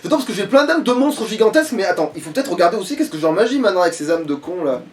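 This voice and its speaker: A man's voice: high-pitched, very animated, racing, recorded from a distance. A man playing a video game and chatting casually, muttering and swearing in crude slang as he jokes around. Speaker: let's play gamer.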